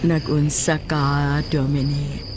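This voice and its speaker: A man acting a commanding occult ritual leader in a horror audio drama, speaking slowly, deliberately and solemnly. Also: fairly high pitch, monotone, heavy background noise. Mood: probing, commanding. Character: commanding occult ritual leader chanting mock-Latin incantations. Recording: loud music over the voice.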